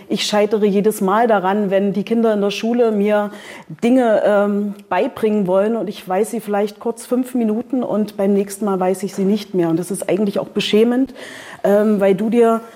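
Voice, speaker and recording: A woman's voice: mid-range voice, fairly even intonation, moderate pace, mellow. A woman, a publisher moderating a book presentation in front of an audience, chatty and hesitant with many fillers. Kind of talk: casual event moderation. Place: event venue with audience.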